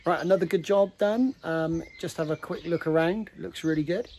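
Gardener guy in his 30s vlogging on his phone out in a garden, slightly high voice, lots of ums, bit of background hiss and birds. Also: normal speed, darkish tone. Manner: plain, matter-of-fact, with many ums and uhs. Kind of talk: casual work vlog. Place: outdoors in a back garden. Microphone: phone camera at arm's length.

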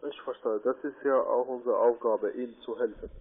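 A man's voice: mid-range voice, fairly even intonation, brisk. A man working as a call centre agent, speaking on a sales phone call. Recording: tinny noisy phone line.

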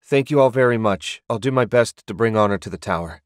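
A man's voice: lowish voice, brisk, no room sound, studio quality, close to an everyday voice. A man voicing an earnest, modest young male sorcerer with a plain, polite manner. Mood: respectful, grateful.

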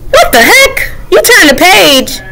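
Woman doing a shrill, panicky picture-book monster, pleading, high and swooping. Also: very animated, measured pace, noisy background, exaggerated voice. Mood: frantic pleading. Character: frantic pleading blue book monster, male.

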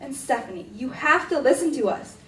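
A woman's voice: high voice, expressive, a little fast, a bit bright. A teenage girl playing a sensible, firm young peacemaker, speaking earnestly in a school radio drama on an echoey stage. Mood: firm, exasperated. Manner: earnest, reasoning. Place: indoor theatre stage. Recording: echoey stage hall, distant microphone.

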